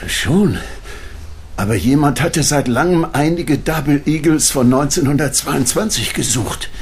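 An actor playing an elderly, ill coin dealer in a radio play, talking tiredly. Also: male voice, a little high, a little slow, a little hiss or hum. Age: older.

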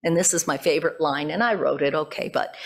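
An older woman preaching as a pastor, reading from notes in deliberate phrases and breaking off for informal, self-correcting asides. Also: low voice, vocal fry. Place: church sanctuary at a pulpit. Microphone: gooseneck pulpit microphone.

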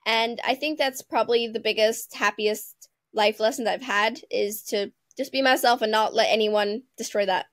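A woman's voice: fairly high pitch, fairly even intonation, normal speed, a bit bright, no room sound, studio quality. A teenage girl with a mixed international English accent, chatting as a guest over a video call and laughing often. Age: teens. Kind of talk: casual interview. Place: home room.

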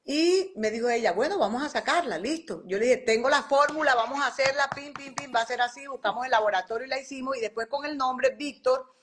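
A woman in her forties with a lilt talks over a phone video call from home, her fairly high voice full of animated storytelling. She slips into little voices for the people she quotes, keeping the chat casual and warm. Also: a little fast. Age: 40s. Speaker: guest doctor and skincare entrepreneur. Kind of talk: casual live interview. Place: home room by a bright window.

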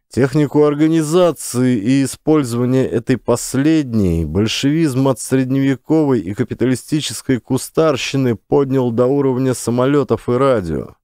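A man reading a first-person memoir as an audiobook in a measured, grave manner, slowing down to weigh his words for emphasis. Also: medium pitch, mellow, dry recording, studio quality. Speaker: audiobook narrator.

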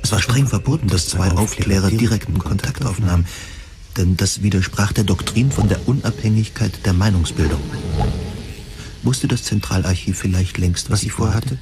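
A man narrating a first-person science fiction audio drama in measured, deliberate, literary phrasing. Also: lowish voice, background noise.

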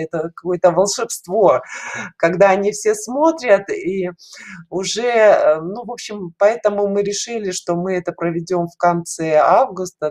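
A middle-aged woman explaining things in an interview over a video call, in halting phrases with frequent pauses. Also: fairly low pitch, a little mellow. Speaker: caller to an online show, head of a children's animation studio. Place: small dim room indoors, video call. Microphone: headset on a video call.

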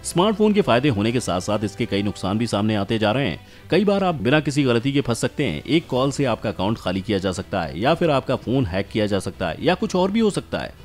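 A man's voice: mid-range voice, sing-song, a little fast, hardly any pauses. A man from India reading a scripted explainer as narrator, speaking emphatically and straight to the listener. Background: background music.

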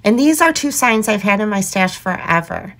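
A woman hosting a craft tutorial in a chatty, enthusiastic voiceover, her voice going hoarse. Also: mid-range voice, expressive, normal speed, a bit bright. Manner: chatty, enthusiastic, laughs often. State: losing her voice. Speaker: craft channel host.

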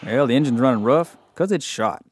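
A man's voice: medium pitch, expressive, brisk, a little hiss or hum, natural voice. Man acting a folksy car mechanic. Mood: blunt, regretful. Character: folksy male car mechanic, blunt with bad news. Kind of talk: family audio drama acting.

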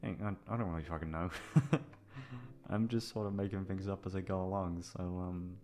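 A man's voice: deep voice, fairly even intonation, normal speed, a little mellow. A man chatting casually and off the cuff, rambling and self-deprecating with some swearing. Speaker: audio roleplay voice actor. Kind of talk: casual unscripted outro chat.

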